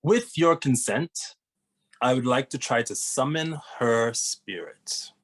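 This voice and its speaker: A man reading a serious, formal young detective for ghosts in an animated comedy table read. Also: mid-range voice, slow pace. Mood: solemn.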